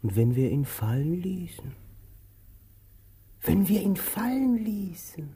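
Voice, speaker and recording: An actor playing a tired, forgetful male tramp in a radio play, asking short, plaintive questions in a husky voice. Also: sits high, very animated, slow pace, dark tone, exaggerated voice. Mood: wondering, glum. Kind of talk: theatrical radio drama acting.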